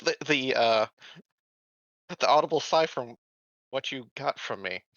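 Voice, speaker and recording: A man's voice: mid-range voice, lively intonation, unhurried, a bit bright, no echo. A man chatting casually during a tabletop game in an amused, teasing way, on slightly dull, dry audio.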